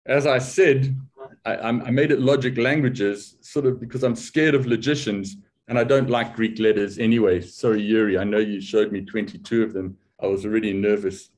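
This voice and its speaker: A man's voice: lowish voice, steady tone, normal speed, a little mellow. An older man with a South African accent giving a conference talk in a loose, conversational way, with wry, self-deprecating humor. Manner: loose, conversational delivery with restarts. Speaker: academic speaker.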